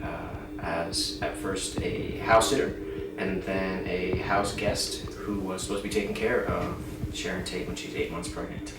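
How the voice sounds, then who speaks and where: A man, an actor, calmly explaining his character, pausing with uh's. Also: sits low, recorded from a distance. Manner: calm, hesitant with uh's. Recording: echoey room from afar, loud music behind.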